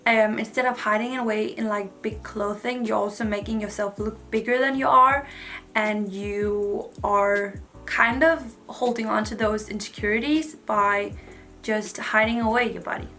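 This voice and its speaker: A woman's voice: mid-range voice, fairly even intonation, a little slow, bright, forceful tone, soft background music. A woman, giving frank, chatty advice to camera with lots of "like" fillers. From Denmark. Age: 20s. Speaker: vlogger. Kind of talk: casual advice talk to camera.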